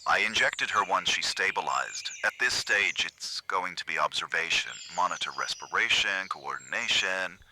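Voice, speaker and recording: A man's voice: sits low, lively intonation, moderate pace, hardly any pauses, exaggerated voice. A man playing a clinical, matter-of-fact park veterinarian who reports in clipped technical phrases in a scripted horror drama. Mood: clinical, assured. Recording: faintly noisy, sharp-edged recording.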